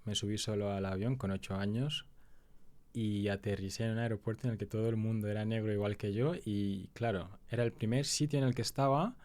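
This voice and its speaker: A man's voice: on the low side, steady tone, a little fast, a little mellow. A young man from the Canary Islands, a guest on a podcast, telling his life story in a reflective, hesitant way. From Canary Islands, Spain. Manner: hesitant, reflective, restarts phrases. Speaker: guest, actor and writer. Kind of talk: conversational storytelling.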